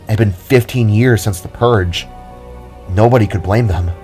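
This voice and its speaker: A man with a low voice narrates a scripted fantasy story in a dramatic audio-drama style. Music and rain play behind his reading. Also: normal speed, a little mellow.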